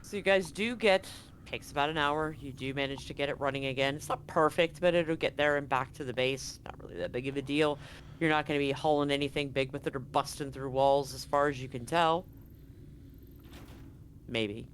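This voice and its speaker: A woman's voice: very deep voice, fairly quick. A woman narrating a tabletop game as game master in a casual, blunt, conversational way. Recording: noticeable background noise on live stream.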